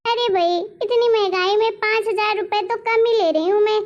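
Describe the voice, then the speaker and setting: A brash cartoon housemaid with a piercingly high voice, put on by a woman. She is sassy and sing-song, haggling without any patience, on a slightly dull recording. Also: moderate pace. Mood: indignant. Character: brash, haggling housemaid who takes no nonsense. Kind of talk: Hindi cartoon family drama voice acting.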